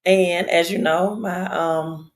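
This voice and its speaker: Hoarse, raspy woman live streamer. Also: fairly low pitch, unhurried. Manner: repeats phrases for emphasis, checks in with viewers. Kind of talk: casual live stream monologue addressing viewers.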